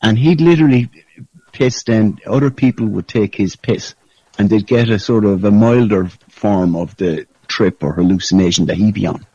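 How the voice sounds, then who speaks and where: A man's voice: on the low side, moderate pace, mellow, husky, no room sound. A man chatting casually and telling stories in a sweary, conspiratorial way over a lo-fi voice call. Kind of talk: casual chat. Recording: dull lo-fi call audio.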